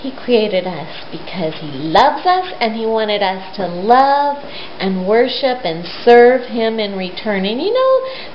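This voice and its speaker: Slow, sing-song woman telling children's stories. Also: fairly high pitch. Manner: simple, emphatic, addresses children with questions. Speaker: children's Bible storyteller. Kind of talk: retelling a Bible story to young children. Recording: roomy, dull lo-fi sound with hiss.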